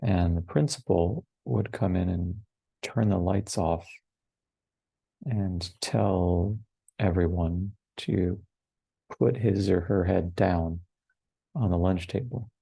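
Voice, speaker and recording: A man in his forties leads a guided meditation, speaking very slowly in short, hushed phrases. His voice is low and husky, with long unhurried pauses in between. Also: no room sound, rough recording. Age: 40s. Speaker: meditation teacher. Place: online retreat over video call, dry close sound.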